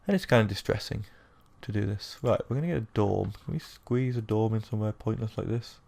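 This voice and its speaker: A young man with a sore, croaky throat, rambling casually through let's-play commentary with lots of fillers. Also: on the low side, brisk, a little mellow. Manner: rambling, self-deprecating, with many fillers. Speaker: gaming YouTuber.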